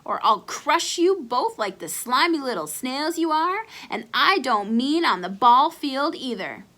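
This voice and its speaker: A woman's voice: high-pitched, sing-song, unhurried, pushed and bright, heavily acted. A woman voicing a sneering, threatening boy bully who brags and taunts in a children's storybook. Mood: menacing, boastful. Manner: taunting, jeering. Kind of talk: children's storybook read-aloud character.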